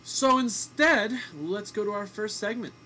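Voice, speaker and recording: A man's voice: high-pitched, a little slow, very noisy. A man co-hosting an amateur radio show in a casual, bantering style.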